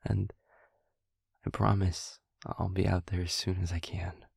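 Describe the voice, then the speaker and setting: An actor playing a lovesick man leaving a tender voicemail in an intimate audio roleplay, speaking softly and haltingly with a husky, breathy voice. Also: low-pitched, flat delivery, no room sound, clean studio sound, heavily acted. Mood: tender, wistful. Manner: soft, halting, affectionate.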